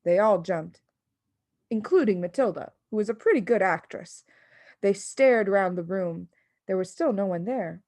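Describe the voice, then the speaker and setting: A woman reading a children's story as an expressive audiobook narrator. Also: mid-range voice, measured pace, dry recording. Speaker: audiobook reader.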